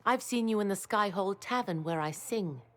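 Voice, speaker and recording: A woman acts a gracious tavern singer in a fantasy game. She is courteous and sweet. Her medium-pitched voice rises and falls in a lively lilt. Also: moderate pace, natural voice. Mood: pleasant, curious. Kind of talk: video game voice acting.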